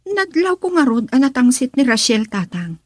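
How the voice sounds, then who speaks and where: A woman's voice: high voice, big pitch swings, moderate pace, no room sound, clean studio sound. A woman acting a concerned daughter, earnest and confiding, in an Ilocano radio drama.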